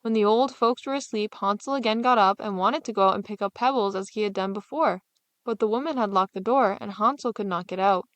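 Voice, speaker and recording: Lady reading a fairy tale as narrator, normal pitch, no room echo at all. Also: fairly quick, fairly bright tone. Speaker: storyteller.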